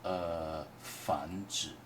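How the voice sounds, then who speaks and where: A man whose first language is Mandarin, teaching a painting workshop in a halting way with many restarts. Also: low voice, steady tone, a crowd in the background. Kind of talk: hesitant workshop explanation. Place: indoor workshop room. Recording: distant mic with faint noise.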